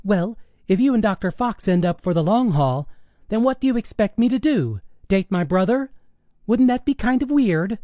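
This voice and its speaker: A man's voice: high-pitched, expressive, moderate pace, warm tone, no room sound, studio quality, pitched above the performer's own voice. A man voicing a sarcastic, dramatic teenage girl in an audiobook, with an exasperated, mocking manner. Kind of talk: audiobook character dialogue.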